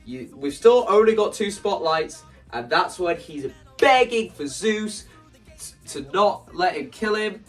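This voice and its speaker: Man, casual video creator, animated explaining, slightly distant mic, music in the background. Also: high voice, unhurried. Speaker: video creator explaining stage play ideas. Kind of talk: casual spoken walkthrough to camera.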